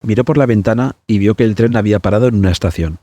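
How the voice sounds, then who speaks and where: Low, mellow male storyteller. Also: fast, no echo. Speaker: podcast host and narrator. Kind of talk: scripted storytelling narration.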